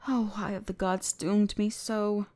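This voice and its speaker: Woman acting a haughty, slow-paced bratty elf mage. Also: mid-range voice, flat delivery, mellow, natural voice. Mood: exasperated scolding. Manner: bossy, exasperated, condescending. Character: bratty, haughty, easily flustered female elf mage adventurer. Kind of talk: scripted roleplay audio drama addressed to the listener.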